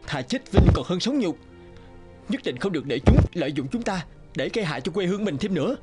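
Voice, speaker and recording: A man's voice: high-pitched, very animated, fast, loud music behind the voice. A man voicing a proud, defiant young captive warrior in a cartoon, earnest and impassioned. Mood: desperate resolve. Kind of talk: dramatic cartoon dubbing.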